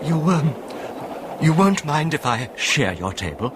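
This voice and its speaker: Timid, halting little man. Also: a little high, very animated. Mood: timid, apologetic. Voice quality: soft-spoken, sometimes barely audible. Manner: tentative, deferential, halting, overly polite. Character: timid, nervous, apologetic little man hiding unease. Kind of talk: 1970s radio horror drama acting. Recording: faintly noisy old radio recording.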